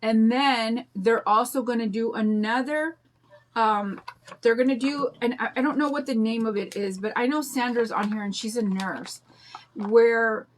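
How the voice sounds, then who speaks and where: An older woman with a raspy voice and a drawl, chatting in a rambling way and greeting viewers while she demonstrates a craft on a livestream. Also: fairly high pitch, unhurried. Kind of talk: casual chat during a craft demo.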